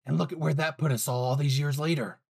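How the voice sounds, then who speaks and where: A man's voice: a little high, steady tone, fast talker, gravelly, slightly rough. A man voicing a furious, foul-mouthed young male hero in an anime fan audio drama, aggressive and ruthlessly protective. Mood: angry, bitter. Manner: aggressive, profane, defiant.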